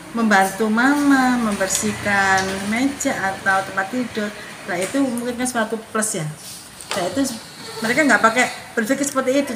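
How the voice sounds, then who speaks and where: A middle-aged woman, a preschool principal, explaining her views conversationally in an interview with many examples. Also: on the high side. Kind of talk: interview answer, explanatory talk. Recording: echoey room with clear background noise.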